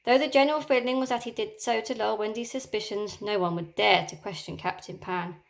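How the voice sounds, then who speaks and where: A woman teacher reading a children's storybook aloud, with expressive, dramatic storytelling. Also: medium pitch, brisk, gravelly.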